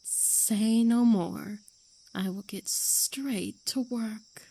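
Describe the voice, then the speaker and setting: A woman playing a calm, hypnotic creature who helps her friend, speaking slowly and soothingly in an ASMR roleplay. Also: on the high side, exaggerated voice, poor audio. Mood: friendly, gracious. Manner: slow, deliberate, soothing.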